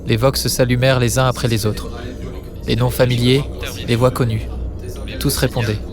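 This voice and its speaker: A man narrates dark audio drama with a solemn delivery and little melodic movement. His phrases are short and heavy with suspense, and he speaks in a studio over a clear music bed. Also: mid-range voice, level tone, moderate pace.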